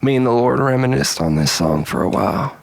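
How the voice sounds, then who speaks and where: A man telling a rambling, melodramatic story as a mock-philosophical monologue, in a husky, gravelly voice with long echo. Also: mid-range voice, expressive, fairly quick. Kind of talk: dramatic comic monologue storytelling. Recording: long reverberant hall echo.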